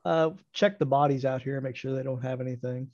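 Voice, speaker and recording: A man's voice: mid-range voice, fairly even intonation, a little fast, darkish tone, dry recording. A man playing a tabletop role-playing game, talking casually in a slightly dull, dry recording.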